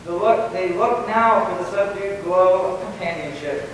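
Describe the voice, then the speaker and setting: Male actor as cheeky, flowery schoolboy, high voice, radio-comedy style, far from mic with heavy echo. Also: unhurried, a bit bright. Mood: dreamy, florid. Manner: florid, theatrical phrasing. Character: earnest, flowery teenage schoolboy, cheeky and romantic. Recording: echoey hall from far off, faint noise.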